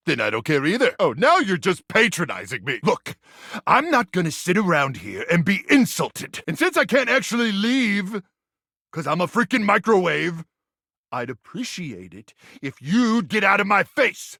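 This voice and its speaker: A man voicing a gung-ho but insecure soldier-like microwave in a video game, gravelly and strained, with melodramatic bravado and boyish slang. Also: high voice, pushed and bright, dry recording, studio quality, exaggerated voice. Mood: offended, melodramatic. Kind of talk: animated video game voice acting.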